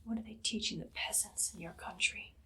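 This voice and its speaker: A woman voicing an imperious, menacing evil queen in a whispered ASMR roleplay, speaking softly and deliberately with a condescending, commanding air. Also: medium pitch, fairly even intonation, background noise, lightly acted. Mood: haughty. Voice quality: breathy, soft, much of it whispered.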